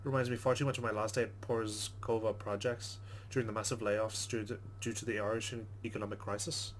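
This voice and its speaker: A man's voice: on the low side, little pitch movement, fairly quick, a little hiss or hum. A man hosting a let's play, reading game narration aloud with casual commentary.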